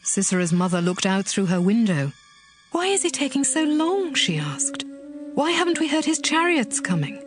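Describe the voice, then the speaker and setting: A woman reading a solemn passage in a measured way in a dramatized Bible recording. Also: fairly high pitch, big pitch swings, faint music behind.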